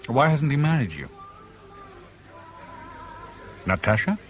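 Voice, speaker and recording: A man's voice: mid-range voice, very animated, quick pace, faint music behind, lightly acted. An actor playing an anxious, suspicious traveller searching for a missing woman in a 1970s radio drama. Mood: probing.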